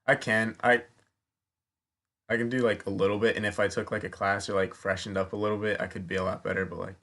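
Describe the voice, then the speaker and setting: A young man hosts a relaxed chat in a small home studio, speaking into a boom mic. His voice sits somewhat low. He keeps his questions short and casual. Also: fast talker. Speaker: podcast host. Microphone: studio mic on boom arm, wearing headphones.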